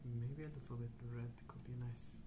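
A man speaking softly, at times near a whisper, in an unhurried, musing ASMR ramble while colouring. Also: mid-range voice, steady tone, very noisy. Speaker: host of a colouring ASMR channel. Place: indoors at a wooden table.

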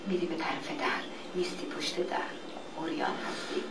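Woman reading as a low, hushed, mysterious shadow figure. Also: fairly even intonation, close to an everyday voice. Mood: somber, incantatory. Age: middle-aged. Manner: deliberate, often hushed, repeating words. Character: mysterious shadowy female presence, calm, hypnotic, beckoning. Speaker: actor reading a play. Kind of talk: dramatic, poetic second-person play reading. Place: indoor hall or classroom, staged reading. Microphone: dynamic mic on table stand. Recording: echoey, noisy live hall, distant.

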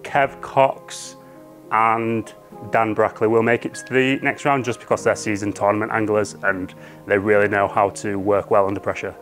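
A man's voice: on the low side, little pitch movement, normal speed, a bit bright, soft background music. A man answering interview questions as an angler. He sounds hopeful and easygoing, recorded on a lapel mic indoors. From Yorkshire, England. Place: indoor room.

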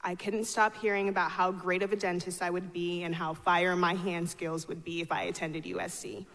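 A young woman reads a formal student address from a podium. Her voice is slightly low, and it carries long reverb from the large hall. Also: flat delivery, normal speed, a bit bright. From Ghana, grew up in North Carolina, United States. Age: 20s. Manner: formal, reading from notes. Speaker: student speaker. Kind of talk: prepared ceremonial speech. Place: large auditorium stage at a podium. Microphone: podium microphone. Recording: echoey auditorium with long reverb.

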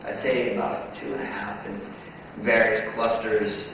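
A man's voice: on the low side, level tone, measured pace, creaky. A man answering questions on a conference panel, hesitant and full of ums. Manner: hesitant, many ums, laughs between answers. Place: large conference hall stage. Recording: echoey hall from far off with background noise.

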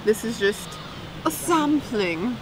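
A woman's voice: a little high, animated, slow. Chatty young woman vlogging outdoors. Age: young adult. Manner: chatty, tasting and describing food. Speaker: travel vlogger. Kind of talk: casual chat to camera. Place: outdoor cafe terrace among trees. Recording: noisy outdoor recording.

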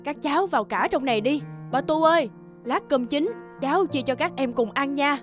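A woman voicing a gentle, selfless middle-aged peasant aunt in a cartoon, soothing and maternal. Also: sits high, normal speed, close to an everyday voice. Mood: urging, caring. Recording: music behind the voice.

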